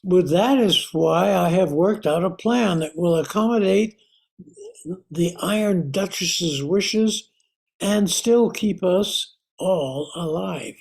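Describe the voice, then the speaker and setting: A man's voice: high voice, takes it slowly, vocal fry, a little mellow, heavily acted, low-quality recording. An older man acting an anxious, apologetic scientist in a radio drama, speaking in a hesitant, formal, mild-mannered way. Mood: worried. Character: anxious, apologetic male scientist, husband and uncle. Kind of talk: amateur old-time radio drama acting.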